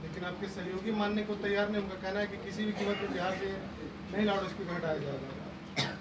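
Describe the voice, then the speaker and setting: A man's voice: sits high, steady tone, brisk, far from the mic. A male reporter pressing a minister with challenging questions. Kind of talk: questioning a politician. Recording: loud noise, off-mic in an echoey crowd.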